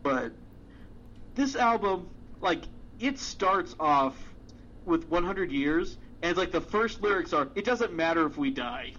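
Man, podcast co-host, loose rambling talk with fillers and swearing, slightly high voice, muffled lo-fi sound. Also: lively intonation, a little slow, a bit bright, slight background noise. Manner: rambling, many fillers and restarts, swears casually. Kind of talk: casual chat.

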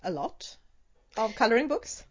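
A woman with a Swedish accent, a native Swedish speaker hosting a casual podcast interview, asking brisk short questions and chatting between them. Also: a little high, very animated, slightly rough. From Sweden. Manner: brisk one-word questions, then chatty. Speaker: podcast host. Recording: dull lo-fi sound.

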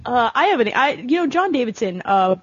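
A woman's voice: fairly high pitch, very animated, brisk, breathy edge, dry recording, lo-fi sound. A woman chatting casually about video games in a blunt way, with the odd swear word. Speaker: podcast guest.

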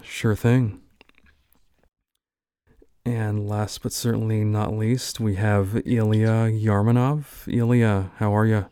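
A male podcast host leads a roundtable with students. His voice is low and flat, recorded dry and close. He speaks in a friendly way, with many fillers. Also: slow pace, clean tone, no echo. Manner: friendly, filler-heavy interviewer. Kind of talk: hosting a roundtable interview.

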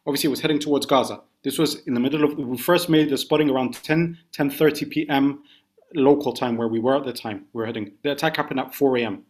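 Measured British male journalist. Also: mid-range voice. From United Kingdom. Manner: measured, detailed recounting. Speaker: guest journalist. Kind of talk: podcast interview.

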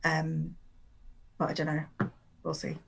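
A woman's voice: low-pitched, steady tone, slow, gravelly, husky. A woman whose first language is Urdu, speaking as a poet in a conversational interview and giving long, rambling answers full of filler words.

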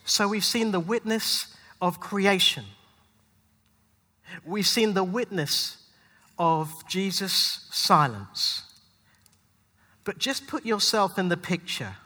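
A male pastor delivering a rhyming spoken-word sermon, dramatic and rhythmic, with emphatic phrasing and deliberate pauses. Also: high-pitched, lively intonation, bright, forceful tone. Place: large hall at a live church event. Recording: long hall reverb, live event.

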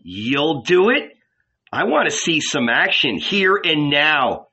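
Storybook innkeeper, played by a male narrator: coarse, angry, blunt swagger, sharp forceful delivery. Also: a little high, big pitch swings, takes it slowly, husky, lo-fi sound, pitched above the performer's own voice, heavily acted. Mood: brash, goading. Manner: bellowing, cursing, scornful.

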